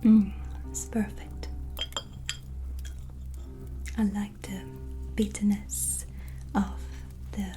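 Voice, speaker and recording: Slow, whispery woman in soft-spoken ASMR. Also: mid-range voice, level tone. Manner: slow, gentle, soothing, with pauses. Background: soft relaxing music.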